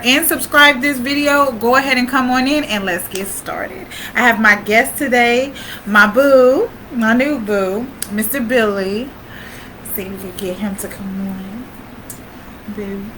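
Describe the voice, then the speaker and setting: A woman's voice: fairly high pitch, measured pace, bright, forceful tone. A woman hosting a casual livestream chat, laid-back and encouraging. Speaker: livestream host. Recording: noisy home recording.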